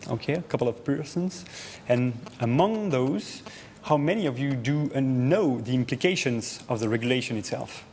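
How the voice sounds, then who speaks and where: A man's voice: mid-range voice, lively intonation, normal speed, a little mellow, a little hiss or hum. A man from France with a French accent, presenting to an audience, talking with frequent hesitations, fillers and restarts. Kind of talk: presentation talk.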